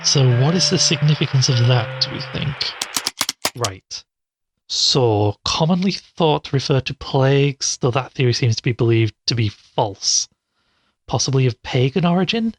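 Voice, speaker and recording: An actor playing a sceptical podcast investigator in an audio drama, thinking aloud hesitantly with dry doubt. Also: male voice, medium pitch, animated, moderate pace, husky. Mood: musing, sceptical. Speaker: podcast host character.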